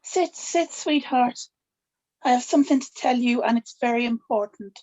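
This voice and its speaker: A woman playing an older grandmother, gentle but firm and secretive, in a scripted table read. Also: a little high, normal speed, highs cut off, lightly acted. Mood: gentle, fond.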